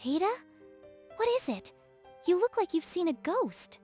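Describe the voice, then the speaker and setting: A woman's voice: extremely high pitch, big pitch swings, measured pace, dark tone, soft background music, full character voice. A woman voicing a concerned, caring young female friend in an anime-style manga dub. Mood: anxious, curious.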